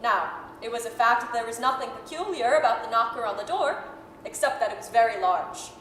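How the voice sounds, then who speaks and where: A woman narrating a radio drama as a measured, articulate, dramatic storyteller. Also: fairly high pitch, expressive, fairly bright tone. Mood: storytelling. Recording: echoey hall, faint noise.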